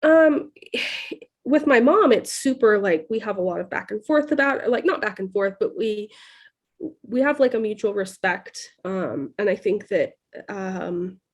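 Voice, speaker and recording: A woman from California speaks candidly as a podcast guest. Her talk is halting and loose, packed with "like" and "um," and she laughs often as she goes. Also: mid-range voice, animated, lo-fi sound. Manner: hesitant, full of "like" and "um", laughing often. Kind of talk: candid casual interview talk.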